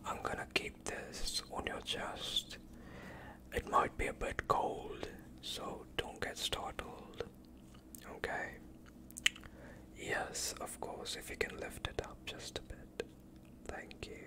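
A gentle vampire doctor, played by a young man, whispers slowly to his patient. His delivery is soothing and attentive, breathed close to the microphone in an ASMR roleplay style. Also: close to an everyday voice. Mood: reassuring. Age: young adult. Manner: slow, soothing, reassuring. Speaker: ASMR performer.

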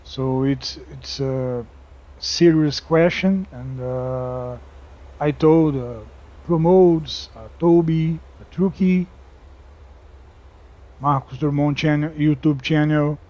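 Slow, halting Brazilian man, muffled mic. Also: fairly high pitch, mellow. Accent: Brazilian Portuguese. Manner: halting, many fillers and restarts. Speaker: gaming YouTuber. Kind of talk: casual game commentary.

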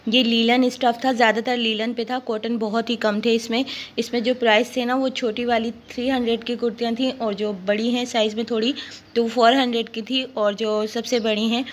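A woman's voice: on the high side, little pitch movement, a little fast. A woman vlogging a walk through an outdoor weekly market, chatting about goods and prices and asking vendors for rates. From Pakistan. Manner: chatty shopping recommendations, haggling with vendors. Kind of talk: walking market tour vlog. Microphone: handheld phone camera. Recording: phone audio with crowd noise.

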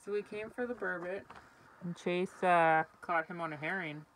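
A chatty, easygoing young woman with a slightly low voice, talking close to a handheld camera inside a small tent out on the ice. She giggles often between her sentences. A faint hiss of outdoor noise sits behind her. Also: moderate pace, a bit bright, rough recording. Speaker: outdoor vlogger. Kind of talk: casual vlog chat. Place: small ice fishing tent on a frozen lake. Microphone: camera held close.

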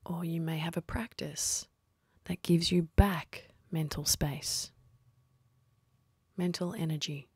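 Low, breathy woman guiding meditation. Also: fairly even intonation, no room sound. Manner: slow, soothing, with long pauses. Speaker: meditation guide. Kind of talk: guided meditation.

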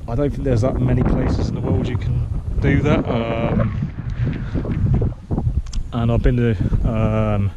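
A man's voice: mid-range voice, muffled recording. A man vlogging from a windy grassy headland by the sea, chatting casually with many ums and restarts. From Britain. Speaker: hiker filming his own trail vlog. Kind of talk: casual rambling chat to camera. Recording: loud wind noise on the mic outdoors.

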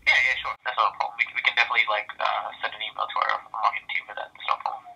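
A man working the phones for a company, polite and agreeable, with a halting, hesitant way of speaking. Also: on the low side, level tone, very noisy. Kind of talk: customer service call. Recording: muffled phone line.

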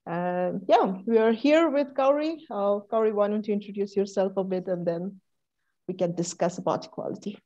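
A woman's voice: mid-range voice, moderate pace, dark tone. A young woman from India, a PhD student hosting a video-call podcast politely, with hesitant fillers. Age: young adult. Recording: slightly dull video-call audio.

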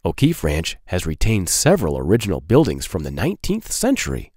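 A man in his thirties reads aloud for young children in a close, dry recording. His pitch swings widely and the phrasing is simple and clear, as a storytime host talks. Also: huge pitch swings, a little fast, a little mellow, no room sound.

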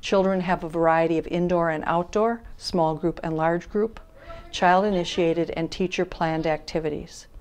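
A woman in her sixties speaks in a slightly low voice, calm and formal as she explains her work. She is seated in a classroom and recorded on a lapel mic. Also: clean tone. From Wisconsin, USA. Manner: measured, even, formal explaining. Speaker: child care services director. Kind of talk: on-camera interview statement. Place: classroom of a child care center, indoors.

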